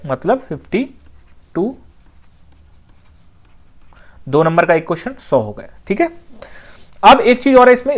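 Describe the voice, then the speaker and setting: An exam coaching teacher, explaining things informally and conversationally, repeating points and often checking that students follow. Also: medium pitch. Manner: conversational, with frequent check-in phrases and repetition.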